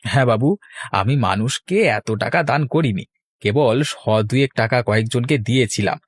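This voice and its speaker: A man's voice: medium pitch, normal speed, breathy edge, dry recording, studio quality, close to an everyday voice. A man voicing a sly, greedy village man in a cartoon, with a wheedling, insinuating manner. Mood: ingratiating. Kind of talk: cartoon voice acting.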